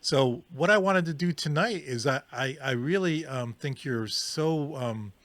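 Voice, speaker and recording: A man hosting a radio show, enthusiastically praising his guest with many halting restarts. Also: fairly high pitch, a bit bright, no room sound. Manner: enthusiastic, gushing, halting with fillers. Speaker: radio DJ host. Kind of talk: casual interview. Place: California.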